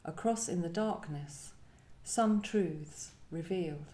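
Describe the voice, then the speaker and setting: Mellow woman poet at a live reading, thoughtful, bit tentative, roomy echo. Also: lowish voice, slow. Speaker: guest poet. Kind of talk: live poetry event, introducing and reading poems. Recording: echoey room.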